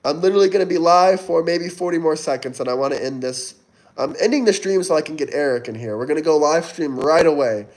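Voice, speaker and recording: Brief: twenties male streamer, somewhat high voice, chatty, keeps urging viewers to like and tip. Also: fast talker, a little mellow, low-quality recording. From Florida, US. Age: 20s. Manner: reads out and answers viewer chat, urging likes and tips. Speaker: livestream host. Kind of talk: casual livestream chat.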